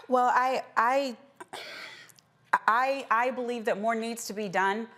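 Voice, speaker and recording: A woman moderating a panel discussion as host, speaking emphatically with deliberate phrasing. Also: a little high, a bit bright. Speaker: talk show host.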